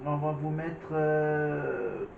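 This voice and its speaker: A man's voice: fairly high pitch, very slow, gravelly, over music. A man hosting a casual vlog, commenting on clips with many fillers and restarts. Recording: echoey, distant, phone-like with noise.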